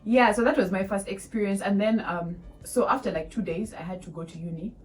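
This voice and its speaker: A young woman in her twenties with a Ugandan accent, telling a casual storytime to viewers, animated and laughing often. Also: mid-range voice, fairly quick, recorded from a distance, soft background music, poor audio. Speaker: vlogger. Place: small room indoors.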